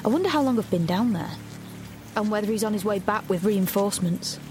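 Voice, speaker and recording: Actress as lonely young rebel woman narrating her dystopian life, halting n self-questioning, music underneath. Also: mid-range voice, expressive, close to an everyday voice. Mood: wary relief. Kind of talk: first-person audio drama narration.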